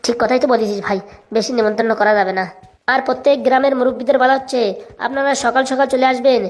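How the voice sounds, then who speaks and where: A woman's voice: on the high side, fairly even intonation, fast talker, a little mellow, close to an everyday voice. A woman playing a cheeky, meddling young village lad in a comic cartoon, talking with mock formality.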